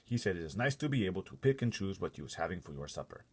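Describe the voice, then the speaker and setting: Big kindly storybook giant played by a man: husky, emphatic, folksy, with mangled grammar and a swooping delivery. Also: low-pitched, sing-song, fast, a little mellow, heavily acted. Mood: eager, explaining. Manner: muddled grammar and made-up words, emphatic. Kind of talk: storybook character voice.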